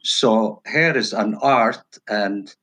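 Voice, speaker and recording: A middle-aged man from Turkey answering interview questions casually as a guest, in halting, broken phrases. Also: lowish voice, bright, forceful tone, rough recording. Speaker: podcast guest, hairstylist. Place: virtual call.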